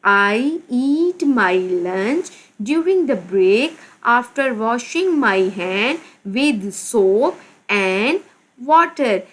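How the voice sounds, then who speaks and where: A woman teacher from Pakistan with a Pakistani accent, slowly reading a children's English textbook aloud and explaining each word. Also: fairly high pitch, fairly bright tone. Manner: addresses pupils as "beta". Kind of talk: slow textbook lesson with translation.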